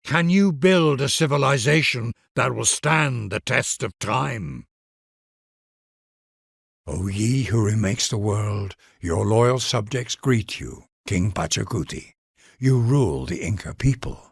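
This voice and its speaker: Male game narrator, gravelly, dry close sound, solemn, grandiose, formal storytelling. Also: medium pitch, expressive, slow, no echo. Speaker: narrator of game leader introductions. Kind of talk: scripted dramatic narration in a video game.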